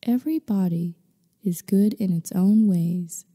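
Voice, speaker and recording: A woman guiding a meditation in slow scripted narration, with a soothing, unhurried, gently instructing manner. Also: medium pitch, slightly rough. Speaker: meditation guide. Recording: long reverberant hall-like echo.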